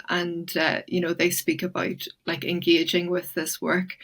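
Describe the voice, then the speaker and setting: A woman speaking as an interview guest, reflective and thoughtful, pausing often with fillers and restarts. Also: on the low side.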